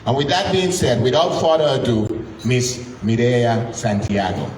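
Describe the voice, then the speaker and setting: A man's voice: medium pitch, animated, measured pace, a little mellow. A man hosting a live talk night, introducing the next speaker in a rambling, informal way. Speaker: host. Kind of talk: event host introduction. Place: event room. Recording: echoey room, distant mic, noisy.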